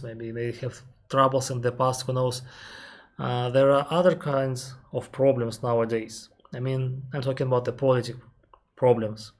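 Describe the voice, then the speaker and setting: A man with a Russian accent, an airline captain on a live stream, reading out viewer questions and answering them carefully, often pausing with "uh" and restarting his sentences. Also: mid-range voice, a little mellow. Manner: careful, explanatory, pauses with frequent "uh" and restarts; reads viewer questions aloud, then answers at length.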